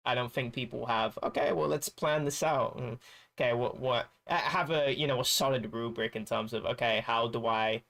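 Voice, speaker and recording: A man's voice: mid-range voice, fairly even intonation, moderate pace, vocal fry, fairly bright tone. A man chatting casually on a stream, rambling and restarting his sentences as he searches for words. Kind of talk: casual chat while gaming.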